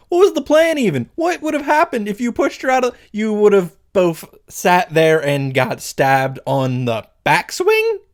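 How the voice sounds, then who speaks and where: A man giving casual, sweary, exasperated commentary as he reacts to a visual novel. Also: high-pitched. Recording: music behind the voice. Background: music.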